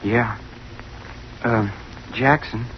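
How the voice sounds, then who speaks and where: A man's voice: mid-range voice, fairly even intonation, very slow. A man playing an obsessive, unhinged young college student in an old-time radio horror drama, intense, hesitant and repeating himself. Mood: timid, hurt. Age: young adult. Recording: dull, noisy old radio recording.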